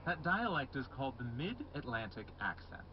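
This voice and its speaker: A man hosting a radio news program, speaking in a crisp, scripted way. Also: mid-range voice, very animated, normal speed, dull audio, a little hiss or hum. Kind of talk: news broadcast.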